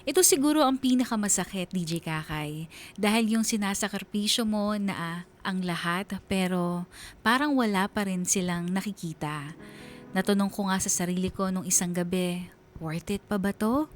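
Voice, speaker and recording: A woman's voice: mid-range voice, normal speed, slight background noise. A woman with a mid-range voice narrates a personal letter in the first person. Her delivery is scripted, sorrowful and confiding.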